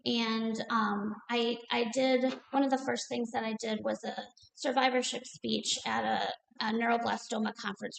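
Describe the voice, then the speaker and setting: A young woman with a heavily creaky, gravelly voice pitched a little high speaks hesitantly, full of ums and restarts. She is on a panel in a library room, and her voice echoes around it. Faint noise sits beneath her. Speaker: memoir author on a panel. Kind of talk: casual panel answer.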